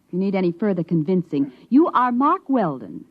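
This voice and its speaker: A cool, quietly menacing young interviewer in a 1950s radio mystery. She is clipped and controlled, with swinging intonation. The recording is slightly muffled. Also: medium pitch, big pitch swings, a little fast. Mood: cool, knowing. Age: young adult. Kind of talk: 1950s radio drama acting.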